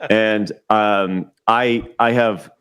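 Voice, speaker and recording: A man's voice: fairly low pitch, steady tone, drawn-out words, a bit bright. A man in his forties, a podcast guest chatting casually over a call, often repeating words and restarting his sentences. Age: 40s. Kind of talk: casual chat. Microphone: remote call audio.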